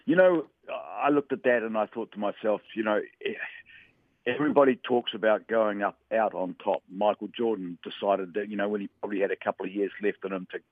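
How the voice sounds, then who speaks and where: A man's voice: fairly low pitch, brisk, no room sound. A man from Western Samoa who talks in a rambling, hesitant way with many fillers, calling into a radio sports chat. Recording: tinny phone line.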